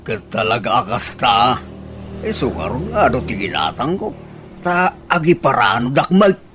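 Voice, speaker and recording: A man's voice: mid-range voice, very animated, unhurried, noisy background. An actor playing an easygoing older village man in an Ilocano comedy radio drama, with an Ilocano accent. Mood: casual, sheepish.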